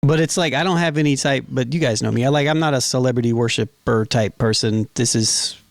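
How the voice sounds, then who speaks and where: A man's voice: medium pitch, brisk, gravelly, dry recording. Laid-back man in podcast chat. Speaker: podcast co-host. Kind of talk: casual chat.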